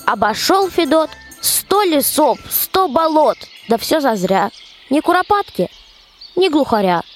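High-voiced young woman telling a rhymed tale. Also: very animated, a little slow, soft background music. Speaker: storyteller. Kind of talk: rhymed folk-tale narration in a radio play.

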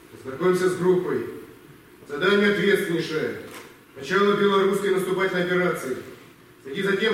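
A man's voice: sits high, natural voice. A man playing a stern, commanding wartime army officer in a stage drama, giving curt, businesslike orders. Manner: curt, authoritative, clipped orders. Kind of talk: amateur stage war drama. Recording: very echoey hall, distant mic, faint noise.

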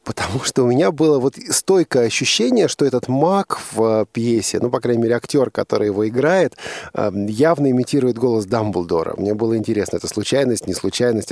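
A man's voice: mid-range voice, darkish tone, dry recording. A man hosting an informal radio interview, probing by repeating and rephrasing his questions. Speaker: radio host interviewing a young guest.

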